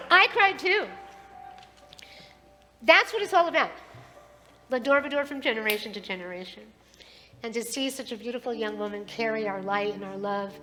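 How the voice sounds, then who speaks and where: A woman's voice: medium pitch, a bit bright. A middle-aged woman leading a service in a synagogue sanctuary, heartfelt and affectionate as she gives a blessing and a sermon.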